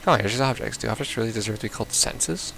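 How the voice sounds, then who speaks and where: A man's voice: medium pitch, racing, creaky, a bit bright, a little hiss or hum. A man playing a visual novel, reading its text aloud casually with baffled, blunt asides. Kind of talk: casual let's play, reading game text aloud with confused reactions.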